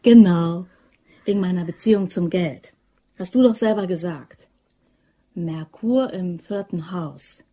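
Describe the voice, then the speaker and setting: Radio drama role: chatty, insistent young woman devoted to her man, soft dark tone, slightly breathy. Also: fairly low pitch, a little slow. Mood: earnest, defensive. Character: eager young woman devoted to her boyfriend, scheming, upbeat. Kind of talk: German crime radio drama acting.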